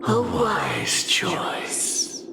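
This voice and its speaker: Slow, imperious, creaky-voiced solemn guardian. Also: female voice, on the high side, sing-song, echoey room, heavy background noise, heavily acted. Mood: solemn, ominous. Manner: formal, slow, theatrical, measured phrasing. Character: solemn, imperious female guardian issuing veiled exile orders. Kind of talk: dramatic game cutscene acting. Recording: loud background music.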